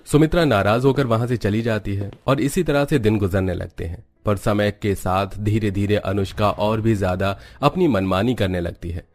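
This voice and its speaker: A man's voice: on the low side, normal speed, few pauses, darkish tone. A man narrating a cartoon family story in a scripted storybook style.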